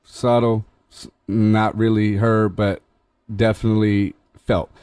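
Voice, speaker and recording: A man hosting a music-mixing tutorial, walking through the steps in a relaxed, explanatory way with frequent restarts. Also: fairly low pitch, measured pace. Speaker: host demonstrating music mixing. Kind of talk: casual tutorial walkthrough.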